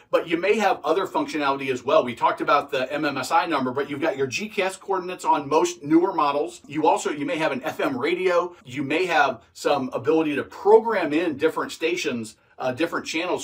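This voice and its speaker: A man's voice: fairly high pitch, fairly bright tone, far from the mic. A man giving informal, practical instruction like a boating teacher, repeating key points for emphasis. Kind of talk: informal instructional video talk.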